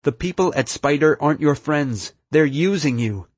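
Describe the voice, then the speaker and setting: A man's voice: fairly high pitch, animated, moderate pace, no room sound, pitched above the performer's own voice. A man voicing an earnest young boy hero in an audiobook, urging someone on with emphatic conviction. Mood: urgent, earnest. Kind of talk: audiobook character acting.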